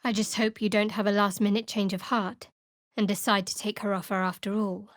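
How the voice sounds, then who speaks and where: A woman voicing a guarded, wry young female cleric of a dark goddess in a fantasy video game, speaking in a measured, dry, sardonic way. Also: medium pitch, flat delivery, no room sound, natural voice. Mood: grave.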